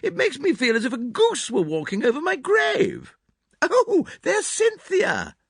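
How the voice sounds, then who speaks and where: A man playing a grand elderly lady presiding over her household, high and fluttery in a storybook reading. Her voice swoops widely as she exclaims and gives orders. It sounds close and dry. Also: wildly swooping pitch, unhurried, vocal fry, no echo, exaggerated voice. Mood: exclaiming. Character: gushing elderly woman, imperious lady of the house.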